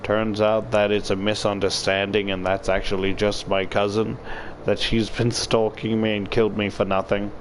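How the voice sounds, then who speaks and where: A man's voice: lowish voice, little pitch movement, normal speed, slight background noise, poor audio. A man reading a visual novel aloud and adding joking, sweary commentary. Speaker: video creator reading a game aloud.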